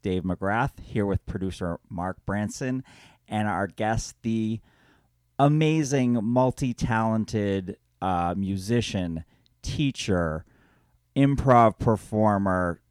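A man hosting a podcast, asking questions in a relaxed way and laughing often with short encouraging replies. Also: lowish voice, takes it slowly, clean tone, dry recording, studio quality. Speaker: podcast host. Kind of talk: casual interview.

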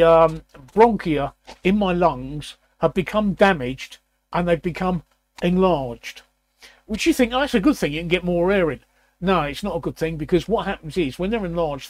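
A man in his sixties with a croaky, raspy voice, hosting a casual chat and often clearing his throat. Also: high-pitched, dry recording. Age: 60s. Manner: repeats words, frequent throat clearing. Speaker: host.

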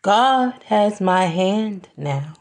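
A woman delivering a scripted spoken-word monologue in a deliberate, measured way, with pauses between phrases. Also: medium pitch, expressive. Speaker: podcast host.

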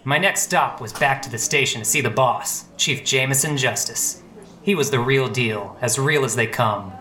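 A man playing a bright-voiced deadpan noir detective. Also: mid-range voice, moderate pace, natural voice. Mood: wry, brooding. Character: hard-boiled, bumbling noir police detective, earnest and wry. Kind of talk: comic noir radio play with narration.

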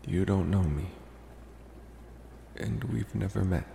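Casting: man as infatuated college-age vampire for ASMR roleplay, polite, reassuring, very low with heavy fry. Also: mellow, reverberant, in a large hall, slight background noise, full character voice. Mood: calm, reassuring. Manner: slow, reassuring, hesitant with deliberate pauses.

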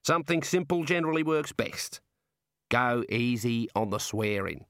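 A man's voice: medium pitch, expressive, normal speed, a bit bright, no echo, studio quality. Man voicing a cheerful prison warder. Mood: chatty, encouraging. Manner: folksy colloquial Australian-style slang. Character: cheerful, matter-of-fact male prison warder, chatty and obliging. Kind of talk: comic audiobook character voice.

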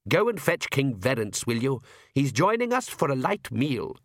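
Man acting out a vampire count, middle-aged, urbane, theatrical, patronising, very animated pitch, dry close recording, storybook villain. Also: moderate pace, no echo. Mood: dry, irritated.

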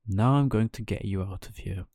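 A man voicing a gentle but stern female fairy, measured and admonishing, in a children's storybook. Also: fairly low pitch, no echo, clean studio sound, natural voice. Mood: guiding.

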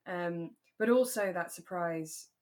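A woman's voice: fairly low pitch, slow, darkish tone. A British woman in her thirties chatting casually and animatedly as a podcast co-host. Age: 30s. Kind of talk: relaxed casual chat about a radio drama.

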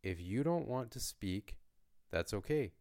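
A man from Canada hosting a beginner language podcast, speaking in a slow, lightly joking teaching voice. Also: lowish voice, animated, a little mellow. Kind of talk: slow, clear lesson narration for learners.

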